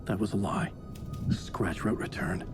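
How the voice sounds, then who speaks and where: An actor playing a haunted middle-aged novelist, narrating a horror game in grave, terse, brooding sentences. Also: male voice, on the low side, steady tone, a little slow, over music, natural voice. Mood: grim, urgent. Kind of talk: scripted first-person narration in a horror video game.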